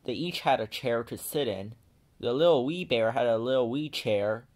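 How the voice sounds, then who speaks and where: A man narrating a children's storybook in an animated read-aloud style. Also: mid-range voice, moderate pace.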